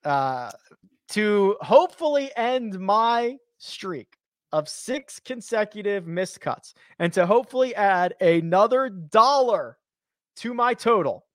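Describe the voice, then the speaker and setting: A man's voice: high voice, takes it slowly, dry recording, clean studio sound. A man hosting a sports betting podcast, chatting casually with energy and wrapping up the show. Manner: energetic, chatty, upbeat sign-offs. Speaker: podcast host.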